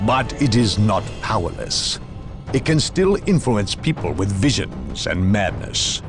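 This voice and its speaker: An actor playing a zealous, secretive demon-hunting inquisitor in a video game, with a theatrical, measured and ominous manner. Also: male voice, mid-range voice. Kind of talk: dramatic video game cutscene acting.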